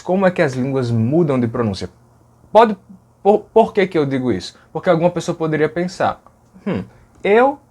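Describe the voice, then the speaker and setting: A man's voice: medium pitch, a little mellow. A man teaching a lesson in a conversational way, often tagging "né?" and sounding out speech examples. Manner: conversational, fillers like "né?", demonstrates sounds aloud. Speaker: language educator. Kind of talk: explanatory video lesson.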